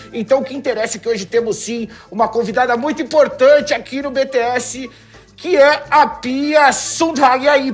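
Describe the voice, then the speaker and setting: A young man with a Brazilian accent hosting a playful web show interview, joking and laughing often as he asks questions. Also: very high-pitched. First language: Portuguese. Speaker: host. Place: decorated room indoors.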